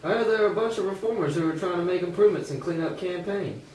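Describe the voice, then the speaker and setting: A man's voice: high-pitched, fast, darkish tone, lightly acted. A man playing a worried working-class father in the city, in an amateur puppet show. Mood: somber. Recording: echoey room, distant mic, faint noise.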